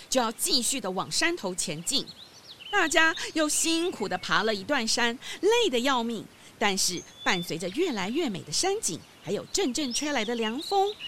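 Woman narrator for kids story, expressive, highish n animated, slight background noise. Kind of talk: children's scripted story narration.

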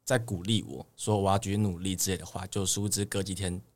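Low-voiced young Taiwanese Mandarin man. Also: steady tone, fast. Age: young adult. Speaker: idol singer guest. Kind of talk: casual interview chat.

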